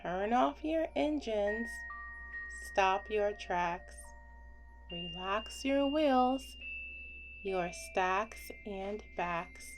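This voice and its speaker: A woman reading a children's picture book aloud as a bedtime story, expressive and gentle, with deliberate phrasing. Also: medium pitch, over music.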